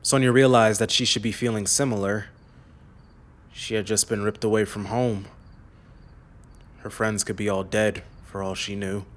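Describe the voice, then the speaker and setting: A man narrating a scripted fantasy audiobook in a measured, serious way. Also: fairly low pitch, a little hiss or hum.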